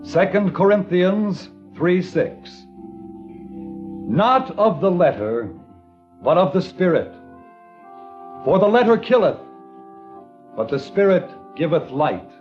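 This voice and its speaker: An earnest small-town preacher, delivers scripture in a measured, solemn cadence. His voice sits a little high. The old radio recording sounds dull, with faint music beneath. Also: very animated, full character voice. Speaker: reverend. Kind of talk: 1950s radio drama acting.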